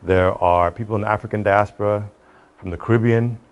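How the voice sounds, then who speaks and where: A middle-aged American man with a fairly low voice gives an interview as a business executive. He speaks in an articulate, earnest, conversational way. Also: steady tone, brisk, lo-fi sound. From Cleveland, United States. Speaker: real estate executive being interviewed.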